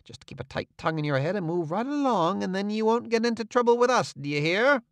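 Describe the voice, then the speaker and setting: Un uomo che fa un truffatore minaccioso e brontolone. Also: male voice, sits high, expressive, quick pace, no echo, voice pushed up. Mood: commanding. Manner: grumbling, threatening, bossy. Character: scheming middle-aged male swindler, gruff and menacing.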